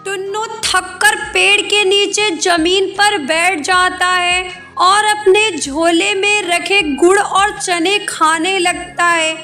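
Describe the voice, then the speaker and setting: A woman's voice: very high-pitched, steady tone, slow, hardly any pauses, bright, forceful tone. A woman narrating a scripted children's cartoon story. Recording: music behind voice.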